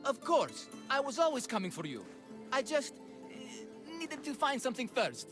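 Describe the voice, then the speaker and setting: A man's voice: extremely high pitch, sing-song, unhurried, music in the background, exaggerated voice. An actor playing a sheepish, blustering father in a video game, a theatrical showman with a cowardly streak. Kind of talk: video game cutscene acting.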